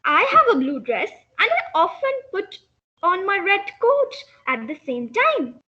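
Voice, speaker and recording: A woman performs a prim, polite neighbour in an amateur stage reading. The recited lines come out extremely high and bright, on a slightly muffled recording. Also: very animated, a little slow, full character voice. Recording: dull, muffled sound.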